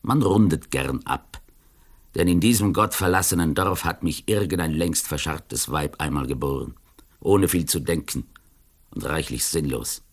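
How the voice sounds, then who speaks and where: An actor in a radio play as a cynical, taunting older criminal, speaking in a deliberate, eloquent, mocking way. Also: male voice, rumbling low. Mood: bitter, sardonic.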